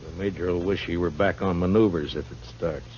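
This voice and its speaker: A noisy old radio western recording of a man playing a world-weary American lawman. His voice is very low, and he talks in a blunt, laconic way with dry humor. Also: steady tone, fairly quick, darkish tone, lightly acted. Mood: guarded, low-key. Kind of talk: 1950s radio western acting. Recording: muffled, noisy early 1950s radio recording.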